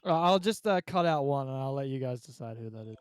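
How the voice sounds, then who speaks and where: A man's voice: mid-range voice, animated, quick pace, clear, resonant tone, no echo. A man co-hosting a casual comedy podcast with an offhand, joking manner. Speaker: podcast co-host.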